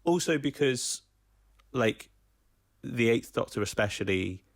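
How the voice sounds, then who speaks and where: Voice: medium pitch, lively intonation, unhurried, creaky, no echo, studio quality. A podcast host chatting casually, feeling out phrases in a conversational way. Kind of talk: casual chat.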